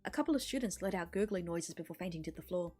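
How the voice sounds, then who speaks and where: Woman streamer reading a visual novel out loud, storytelling style, bit of music behind her. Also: fairly low pitch, quick pace. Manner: expressive storytelling with character voices.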